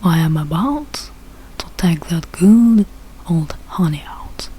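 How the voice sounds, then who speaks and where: Woman voicing a confident, boastful father bear, unhurried. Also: on the low side, animated, mellow, slight background noise, voice pushed down, rough recording. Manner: self-assured, instructive, slow rhyming delivery.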